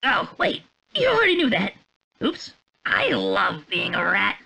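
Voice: mid-range voice, huge pitch swings, measured pace, creaky, pushed and bright, husky, exaggerated voice. An actor voicing a silly, gleeful and proudly gross little cartoon rat in exaggerated video game lines. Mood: silly, playful.

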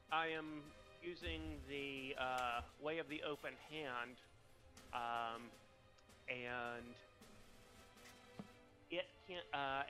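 A man's voice: medium pitch, bright, forceful tone. A man playing a tabletop game over a video call, talking hesitantly with many ums. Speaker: player. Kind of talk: casual game chat.

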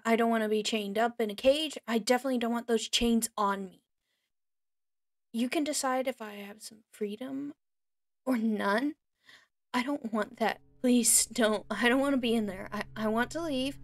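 A woman playing a frightened but defiant kidnapped young captive in an audio roleplay, pleading in halting phrases. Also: on the high side, no echo, clean studio sound, lightly acted. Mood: panicked pleading.

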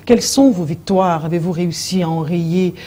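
A woman's voice: on the low side, steady tone, normal speed, warm tone. A woman, putting formal questions as a television interviewer. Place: indoor TV studio.

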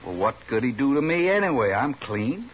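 A man's voice: medium pitch, sing-song, normal speed, natural voice. An American man playing a proud, plain-spoken frontier gambler with a folksy manner in a 1950s radio western. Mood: firm, confident. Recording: muffled vintage radio with faint hiss.

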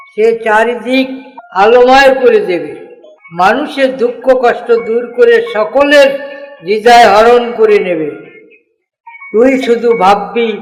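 Man acting a high-voiced, devout holy man. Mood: solemn, blessing. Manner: exclamatory, reverent, affectionate. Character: devout, tender wandering male Vaishnav holy man. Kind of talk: devotional cartoon dialogue. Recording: long reverberant hall echo.

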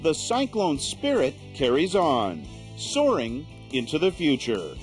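A man's voice: fairly high pitch, wildly swooping pitch, slow pace. A man narrating a scripted sports feature in a dramatic, sweeping way. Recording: voice-over with music.

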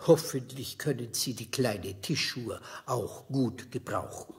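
Courteous, formal manservant, middling pitch. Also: male voice, animated, unhurried, gravelly. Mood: apologetic, formal. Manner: formal, deferential, hesitant. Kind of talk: children's radio play acting.

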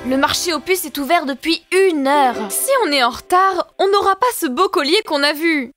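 A woman's voice: high-pitched, normal speed, a bit bright, soft background music. A woman voicing a confident, bossy young female puppy in a French cartoon dub, speaking assertively. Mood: bossy, excited.

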